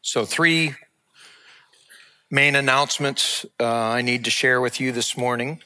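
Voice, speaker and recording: A man in his sixties, a lead pastor, giving a sermon and announcement in an earnest, measured, heartfelt way. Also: mid-range voice, bright, forceful tone. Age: 60s.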